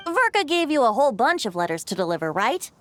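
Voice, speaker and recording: A woman's voice: a little high, big pitch swings, fast talker, a little hiss or hum. A woman voicing a playful, teasing young male bard in a video game, airy and theatrical.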